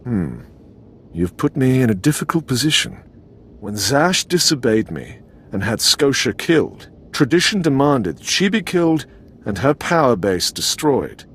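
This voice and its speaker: An actor playing a cold, haughty older Sith lord in a video game, formal and condescending. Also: male voice, on the low side, fairly bright tone, a little hiss or hum. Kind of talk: dramatic video game acting.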